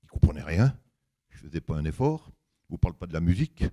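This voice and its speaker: An older man with a big voice giving an anecdotal public talk, colloquial and emphatic, acting out bits of dialogue as he tells it. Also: quick pace. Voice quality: big deep voice. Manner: animated storytelling, colloquial, emphatic, acts out reported dialogue.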